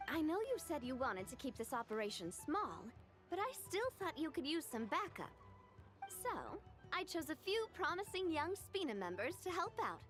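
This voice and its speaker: A kind young leader speaks in a high, lively voice. She sounds gentle and reassuring, with faint game music behind. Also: normal speed. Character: warm-hearted young woman leader, reassuring.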